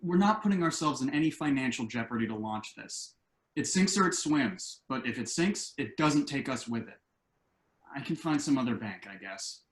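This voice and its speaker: An actor playing a dry, wry and somewhat discouraged adult son and husband in a table read. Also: male voice, mid-range voice, lively intonation, normal speed, lightly acted. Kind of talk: stage comedy-drama table read. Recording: echoey room on online call.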